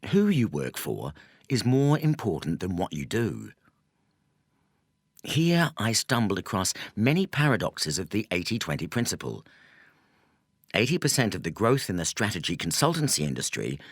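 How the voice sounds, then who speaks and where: A man reading a nonfiction audiobook in a measured, articulate way with emphatic phrasing. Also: sits low, fairly bright tone, dry recording, clean studio sound. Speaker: audiobook narrator. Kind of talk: scripted audiobook narration.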